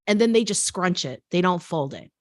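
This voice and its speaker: A woman's voice: lowish voice, lively intonation, normal speed, crowd noise. A woman with an American accent whose first language is Korean, hosting a casual fashion interview and slipping into teacherly asides. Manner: chatty, laughing, teacherly asides. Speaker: host and fashion design teacher. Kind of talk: casual video call interview.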